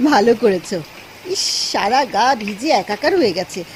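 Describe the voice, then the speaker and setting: A woman's voice: fairly high pitch, big pitch swings, slow pace, slight background noise. A woman acting a lonely, devoted housewife in a Bengali radio drama, speaking expressively and tenderly. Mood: kindly, caring.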